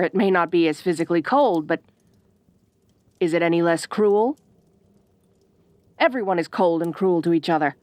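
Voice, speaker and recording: Woman performing haughty ice queen, lowish female voice, bossy and cold, disdainful, audio roleplay, roomy echo. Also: natural voice. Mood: cold, reflective. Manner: imperious, deliberate phrasing with disdainful hums. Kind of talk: audio roleplay addressed to the listener. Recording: roomy, echoing voice recording.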